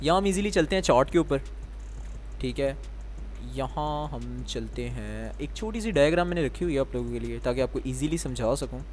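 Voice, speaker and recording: A man's voice: mid-range voice, expressive, normal speed, darkish tone, a little hiss or hum. A man with a sore throat giving a step-by-step lesson. He asks the viewer questions and then answers them himself. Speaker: trading teacher. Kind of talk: explanatory lesson.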